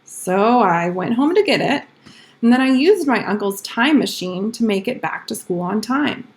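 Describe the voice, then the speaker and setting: A woman's voice: a little high, big pitch swings, moderate pace. A woman voicing a schoolboy from a storybook, earnestly telling tall-tale excuses. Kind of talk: storybook read-aloud.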